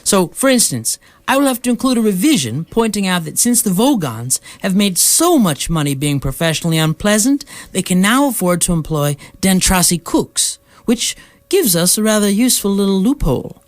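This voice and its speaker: A woman plays a seasoned galactic hitchhiker in a radio comedy. The delivery is breezy and matter-of-fact, with lively pitch movement in a middle register. The sound is close and dry. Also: normal speed, no echo, natural voice. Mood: breezy, explaining. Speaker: radio drama actor.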